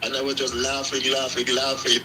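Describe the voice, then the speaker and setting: A man's voice: medium pitch, monotone, brisk, background noise. A middle-aged man from Jamaica with a Jamaican accent, hosting a casual live chat and telling long stories full of restarts. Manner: rambling, with many restarts and storytelling. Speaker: live stream host.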